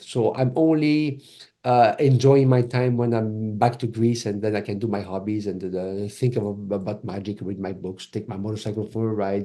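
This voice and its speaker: A man with a French accent, a native French speaker from Cameroon, talking casually as a podcast guest and telling things in an animated way. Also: fairly low pitch. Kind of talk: casual conversational interview.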